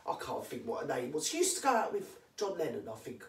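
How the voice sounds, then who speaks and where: A vlogger with a London accent, rattling off comic celebrity gossip, answering their own questions and dropping into hushed asides. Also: huge pitch swings, fast talker. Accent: London English. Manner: drops to hushed asides, jumps to emphatic high yeses. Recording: echoey room, voice far from mic.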